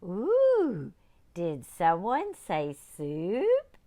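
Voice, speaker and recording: A woman's voice: mid-range voice, huge pitch swings, extremely slow, warm tone, full character voice. A woman voicing a hungry, eager pirate crew member who drawls about food.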